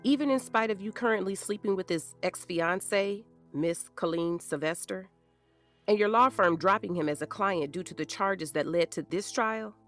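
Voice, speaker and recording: A woman's voice: mid-range voice, normal speed, faint music behind, close to an everyday voice. An actress playing a composed female defense attorney who questions a witness with poised, formal delivery.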